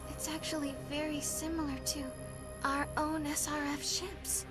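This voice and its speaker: A woman playing a girlish, expressive, plucky young crew member in a video game. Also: sits high, normal speed, over loud music, natural voice. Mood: subdued, thoughtful. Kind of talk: dramatic video game acting.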